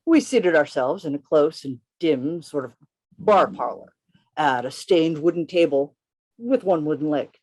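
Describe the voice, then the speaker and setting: Man acting a florid gentleman poet, lively pitch. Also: measured pace. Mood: dry narration. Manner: florid, formal, theatrical. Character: witty, chivalrous gentleman poet narrating his own adventure. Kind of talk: radio play reading, literary first-person narration. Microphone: video call. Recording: video call reading with music behind.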